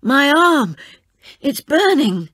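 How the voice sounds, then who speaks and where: A woman's voice: high voice, sing-song, slow pace, a bit bright, dry recording, studio quality, pitched above the performer's own voice, exaggerated voice. A woman voicing a brave male soldier in a storybook read-aloud. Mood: pained. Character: brave male soldier, bold then pained.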